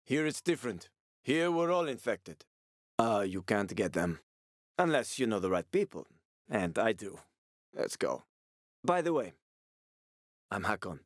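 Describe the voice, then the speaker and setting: A man's voice: fairly low pitch, sing-song, unhurried, pauses often, dry recording, studio quality. A male actor voicing a wry, streetwise survivor guide and ex-soldier in a video game, with colloquial, foul-mouthed talk. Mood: sly, confiding. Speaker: video game companion character.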